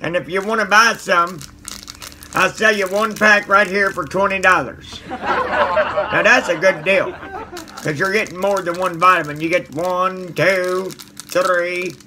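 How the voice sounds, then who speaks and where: A man's voice: sits high, fairly even intonation, slow pace, bright, forceful tone, slight background noise, full character voice. A man playing a cranky, folksy old man who stammers and mutters mild curses at his viewers. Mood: grumbling. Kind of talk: comedic character monologue to viewers.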